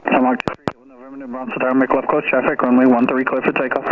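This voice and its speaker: A man's voice: mid-range voice, racing. An American man working as an air traffic controller, giving clipped procedural instructions over aviation radio. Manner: procedural, flat. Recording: tinny aviation radio with faint static.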